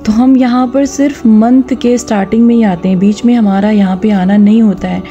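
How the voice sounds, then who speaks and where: A woman's voice: fairly high pitch, steady tone, a little fast, mellow, highs cut off, faint music behind. A woman narrating a vlog, giving instructive advice directly to her viewers. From India. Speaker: vlogger. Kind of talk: vlog voice-over with gentle religious advice.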